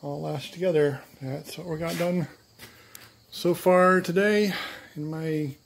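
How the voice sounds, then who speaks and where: A man filming himself in his home garage, explaining a canoe build in a casual, relaxed way with plenty of ums and uhs. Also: a little high, slow, creaky, slight background noise. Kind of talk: casual, unscripted workshop vlog. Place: home garage and driveway, outdoors at times.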